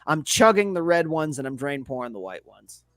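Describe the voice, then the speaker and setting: A man's voice: fairly high pitch, sing-song, normal speed, a bit bright, dry recording. A man giving his opinions as a panelist in casual sports banter, swearing now and then. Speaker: podcast panelist.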